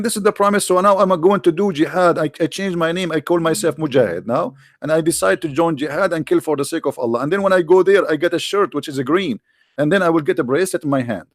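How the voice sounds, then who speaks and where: A man's voice: fairly high pitch, lively intonation, fast, no echo, studio quality. A man hosting an argumentative debate, challenging and mocking his caller with repeated questions. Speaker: debate host.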